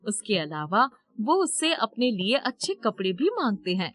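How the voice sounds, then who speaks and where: A woman narrates a scripted story as its storyteller. Her pitch drops lower than in the acted dialogue, though it remains a female narrator's register. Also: sing-song, normal speed.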